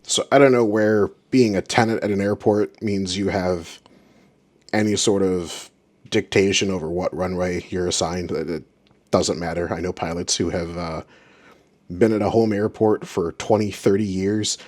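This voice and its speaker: A man's voice: sits low, steady tone, normal speed, darkish tone. A man giving informal commentary as an aviation audio reviewer, with an exasperated, sarcastic way of ranting. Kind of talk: informal commentary on radio recordings.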